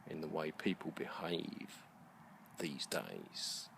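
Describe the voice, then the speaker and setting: A middle-aged British man speaks in a very low voice with a gravelly creak. He delivers a reflective monologue in short, deliberate phrases, earnest and halting. Faint ambient noise sits behind him. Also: very animated. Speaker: video blogger. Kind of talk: slow reflective monologue to camera.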